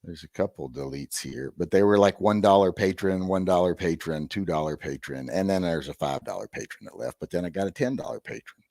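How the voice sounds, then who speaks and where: A middle-aged man with a hoarse, gravelly voice hosting a rambling live-stream chat with wry, self-deprecating asides. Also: deep voice, animated, fairly quick, a little mellow, no room sound, clean studio sound. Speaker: host.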